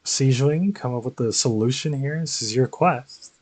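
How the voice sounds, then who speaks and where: A woman streaming a game, reacting in casual, slangy chat with a husky voice and faint game music behind. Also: extremely low pitch, moderate pace, darkish tone. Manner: slangy, swearing, informal.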